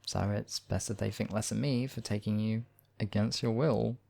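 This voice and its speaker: Creaky, mellow demon king lover, acted by a man. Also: on the low side, fairly even intonation, normal speed. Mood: reassuring. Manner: intimate, courtly, playful. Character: devoted male demon king, tender, teasing lover. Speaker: voice actor in audio roleplay. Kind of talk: ASMR romantic roleplay acting.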